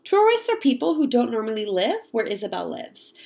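High-voiced young woman reading to children. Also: brisk, creaky. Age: young adult. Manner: expressive, explanatory, addressing young children. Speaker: read-aloud storyteller, teacher. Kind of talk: children's picture-book read-aloud with questions to listeners. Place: home kitchen, indoors.

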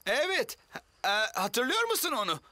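A man's voice: very high voice, wildly swooping pitch, normal speed, no echo, heavily acted. A man voicing a cheerful, apologetic sailor in a children's cartoon dub. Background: loud music.

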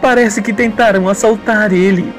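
A man's voice: high voice, steady tone, moderate pace, soft background music, pitched above the performer's own voice, close to an everyday voice. A man voicing an emotional, tender young mother in distress, in an amateur radio soap opera. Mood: grieving. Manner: plaintive, emotional.

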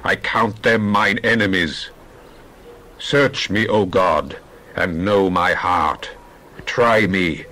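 A man giving a dramatized Bible reading in a solemn, measured way. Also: low-pitched, fairly bright tone. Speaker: scripture reader.

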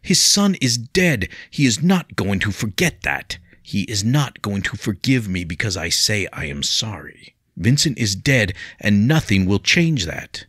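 A man's voice: low-pitched, expressive, normal speed, gravelly, fairly bright tone, breathy edge, no echo, studio quality, heavily acted. A man playing a remorseful young nobleman in an audiobook story, speaking heatedly and bitterly with mirthless resolve.

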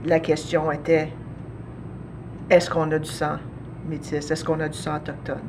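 A woman in her forties with a Canadian French accent, interviewed as an artist, speaking thoughtfully with frequent pauses and fillers. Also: low voice, completely flat delivery, background noise. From Ontario, Canada. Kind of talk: documentary interview. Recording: music bed under voice.

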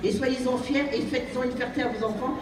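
A woman's voice: high voice, steady tone, fast talker. A woman from France giving an earnest, exhorting speech to an audience in a banquet hall, repeating her phrases for emphasis. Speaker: guest speaker. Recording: echoey hall, distant mic, crowd noise.

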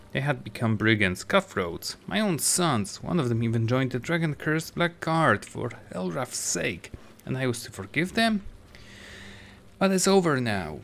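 A man's voice: fairly low pitch, fairly bright tone. A man reading out the lines of a grieving, bitter middle-aged mother from a game, in a plain reading voice.

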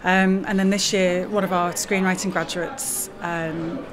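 A woman's voice: lowish voice, flat delivery, measured pace, crowd noise. A woman in her forties speaking in an interview as a lecturer and writer, recorded in a large indoor hall. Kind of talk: interview about own film. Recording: noisy room with background ambience.

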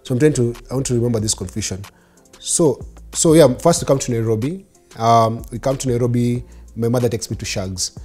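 A Kenyan man in his thirties, telling his childhood story in an interview, mixing in Swahili, animated. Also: mid-range voice, brisk, faint music behind. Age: 30s. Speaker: interview guest. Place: living room studio set, seated in armchair.

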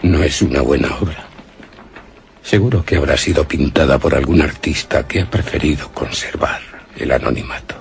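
An actor voicing the hushed, brooding thoughts of a fascinated man in a gothic radio drama. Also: very deep voice, normal speed, vocal fry. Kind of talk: interior monologue in suspense radio drama.

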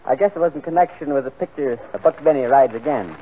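A man's voice: medium pitch, quick pace, very noisy. Matter-of-fact man on a tinny old radio broadcast. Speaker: radio comedy guest. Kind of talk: scripted radio comedy dialogue.

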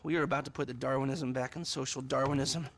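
An actor playing a sardonic middle-aged hacker who rants in quick, acerbic bursts in a game cutscene. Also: male voice, medium pitch, steady tone, slight background noise, close to an everyday voice. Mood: ranting. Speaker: game character.

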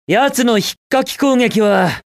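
An actor playing a cocky, upbeat young hedgehog hero in a video game, with a brash, casual, self-assured delivery. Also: male voice, very high voice, slow pace, no echo, clean studio sound, full character voice. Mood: focused, confident. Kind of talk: Japanese video game voice clips.

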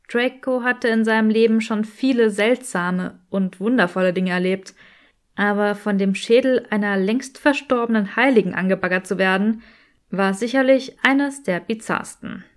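Female audiobook narrator, mid-range voice, scripted storytelling. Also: medium pitch.

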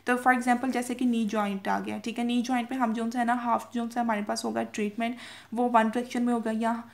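A woman's voice: a little high, little pitch movement, a little fast, creaky. A young woman giving an informal lecture from notes, repeating points with frequent checking tags and mixing in English technical terms. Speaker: medical lecturer.

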